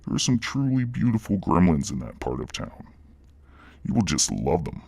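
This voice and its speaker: Reptilian demon boss, extremely low, dry close sound, gravelly, condescending, mock-polite. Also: male voice, huge pitch swings, a little mellow, no echo, heavily acted. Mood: smugly menacing. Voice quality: deep, gravelly growl. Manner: slow, mock-polite corporate menace.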